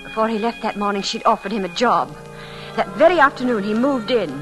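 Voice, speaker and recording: An actress playing a guarded, resentful young niece and paid companion, cool and precise, in old-time radio drama style. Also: female voice, fairly high pitch, animated, fairly quick, music in the background, lightly acted, poor audio. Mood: haunted, reflective. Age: young adult. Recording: vintage radio broadcast recording.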